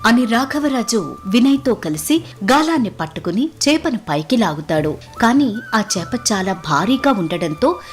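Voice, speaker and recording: A woman's voice: a little high, very animated, moderate pace, faint music behind. A woman narrating a scripted moral story as the storyteller.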